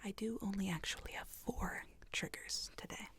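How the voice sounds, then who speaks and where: A woman speaks in a soft, breathy whisper, soothing and reassuring, in an unhurried ASMR roleplay as a sleep clinic technician. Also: mid-range voice, fairly even intonation.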